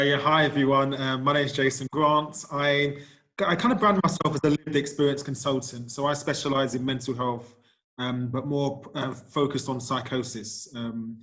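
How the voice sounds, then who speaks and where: A man's voice: mid-range voice, a bit bright, low-quality recording. A man working as a lived experience consultant, speaking hesitantly with many fillers and restarts on a video-call panel. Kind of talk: panel discussion.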